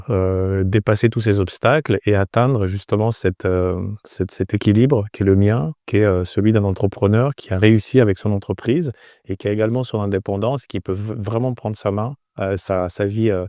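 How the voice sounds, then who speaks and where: A man in his forties from Romania gives conversational interview answers. His voice is low and soft-edged, recorded close and dry. He often pauses and repeats words as he searches for phrasing. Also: clear, resonant tone, no echo. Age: 40s. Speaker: entrepreneur, interview guest.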